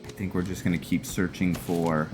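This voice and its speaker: A man's voice: deep voice, level tone, normal speed. A man giving casual roleplay game commentary, thinking aloud and narrating his decisions. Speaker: gaming video host. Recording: game music behind the voice. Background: video game music.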